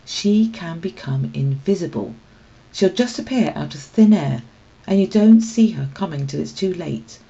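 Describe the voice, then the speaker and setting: A woman's voice: lowish voice, lively intonation, unhurried, creaky, mellow, lo-fi sound. A woman voicing a nervous, easily wounded female cat in a children's storybook, with a dramatic, anxious delivery. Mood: fearful, dramatic. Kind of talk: children's storybook read-aloud.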